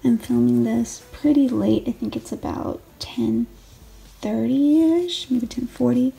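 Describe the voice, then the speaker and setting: Young mom vlogger, raspy and gravelly, a little high, soft rambling tone. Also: female voice, takes it slowly, poor audio. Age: 20s. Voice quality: raspy, late-night voice. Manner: rambling, self-correcting, soft. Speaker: planner vlogger, stay-at-home mom. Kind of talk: casual late-night planner walkthrough. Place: home desk, filmed late at night. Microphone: overhead camera on the desk.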